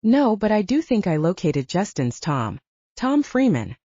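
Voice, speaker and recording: A woman's voice: mid-range voice, very animated, measured pace, dark tone. A woman voicing a man who reports and warns as a matter-of-fact investigator ally in an audiobook. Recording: roomy, dull lo-fi audio.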